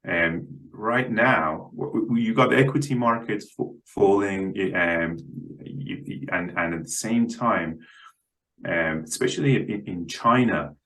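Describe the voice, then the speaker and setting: A man speaking as a guest analyst in an unscripted interview, halting and often repeating the starts of words. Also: sits low, a bit bright, low-quality recording. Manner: halting, stammers on word starts.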